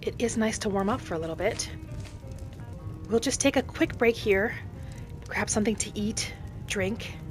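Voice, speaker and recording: A woman plays a gentle wood elf traveler in a fantasy game roleplay. She muses aloud in short, measured phrases at a middle pitch, practical and reflective. Music plays clearly behind her. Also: close to an everyday voice. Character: gentle female wood elf wanderer, reflective and matter-of-fact. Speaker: roleplay gamer narrating in character. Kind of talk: in-character game roleplay monologue. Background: background music.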